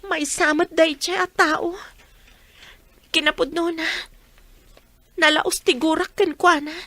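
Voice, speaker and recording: A woman's voice: very high-pitched, fairly even intonation, slow, sharp tone, no room sound, exaggerated voice. A woman playing a young student daughter, earnest and pleading as she talks to her blinded mother. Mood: downcast. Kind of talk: radio drama acting.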